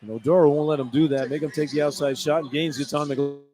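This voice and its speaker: A man's voice: a little high, steady tone, fast talker, warm tone. Folksy male color analyst on noisy feed. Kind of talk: informal basketball color commentary. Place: indoor basketball arena. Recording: noisy live arena radio feed. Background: clear arena noise.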